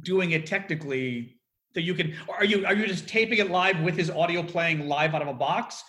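A man from Chicago with a Midwestern American accent, hosting a casual interview in a rambling, excitable way and swearing freely. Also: on the high side, normal speed. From Chicago, United States. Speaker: podcast host.

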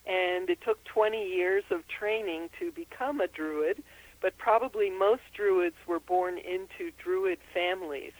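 A woman's voice: on the low side, measured pace, no echo, poor audio. An older woman from Austria, a herbalist and author, giving interview answers in a thoughtful, explanatory way with frequent ums. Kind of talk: interview answers over the phone.

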